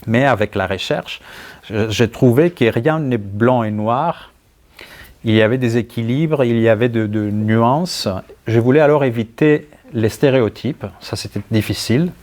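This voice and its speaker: A man's voice: mid-range voice, a little mellow. A man from Greece with a Greek accent, a writer answering questions conversationally and searching for words as he goes. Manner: halting, searching for words, thoughtful. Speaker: writer being interviewed. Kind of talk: conversational answers at a book talk.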